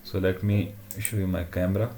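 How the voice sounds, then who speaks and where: A man presenting an informal technical demo in a halting way, often checking in with short tag questions. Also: low-pitched, little pitch movement, warm tone. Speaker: tutorial presenter.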